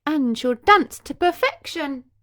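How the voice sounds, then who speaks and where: A woman voicing a gentle, kindly storybook fairy, sweetly declaring wishes. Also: sits high, animated, slow, pitched above the performer's own voice, exaggerated voice. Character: gentle, kindly good fairies granting wishes.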